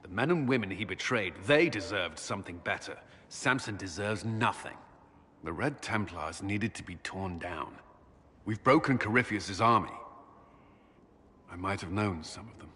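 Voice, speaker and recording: An actor playing a solemn, devout military commander in a video game, brooding and righteous, with grave, restrained intensity. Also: male voice, lowish voice, lively intonation, normal speed, bright, forceful tone, a little hiss or hum. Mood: reflective. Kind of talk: dramatic video game dialogue.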